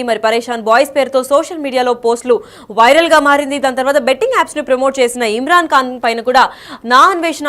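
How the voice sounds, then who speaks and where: A woman's voice: sits high, a bit bright. A woman news anchor presenting live breaking news in a running, repetitive commentary, mixing in English words.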